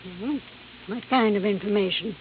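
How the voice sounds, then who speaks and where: A woman's voice: mid-range voice, a little slow, lightly acted. An actress playing an imperious, crabby old grandmother in a radio drama, blunt, curt and bossy. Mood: grumbling. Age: older. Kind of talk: 1950s radio drama acting. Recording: tinny, hissy old radio recording.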